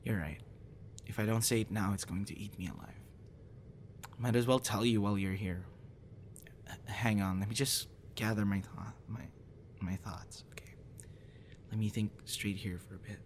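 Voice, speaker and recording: A young man performing an intimate audio roleplay as a troubled guy confiding in a close friend after a breakup, halting and stammering. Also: fairly low pitch, steady tone, lightly acted. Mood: subdued, apologetic. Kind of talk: intimate ASMR boyfriend roleplay, acted monologue. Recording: noticeable background noise.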